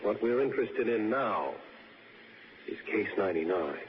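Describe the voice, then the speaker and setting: An actor playing a coolly persistent police interrogator in a 1960s radio drama. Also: male voice, on the low side, animated, moderate pace, lightly acted. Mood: matter-of-fact, insistent. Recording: noisy, dull old radio broadcast.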